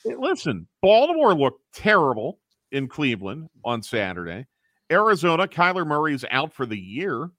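A man's voice: a little high, huge pitch swings, normal speed, a bit bright, no room sound, studio quality. A man who runs a radio station's programming, talking casually on air and thinking aloud with restarts.

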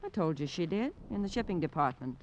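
A woman's voice: fairly low pitch, very animated, quick pace, highs cut off. A snobbish middle-aged housewife in a vintage radio drama. She chatters in indignant swoops, full of theatrical disdain. A light layer of noise covers the old recording. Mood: irritated, dismissive.

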